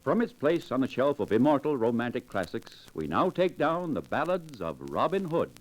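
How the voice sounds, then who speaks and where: A man's voice: medium pitch, very animated, normal speed, low-quality recording. A man narrating in a grand, dramatic 1940s radio announcer style, reading scripted storytelling copy. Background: loud music.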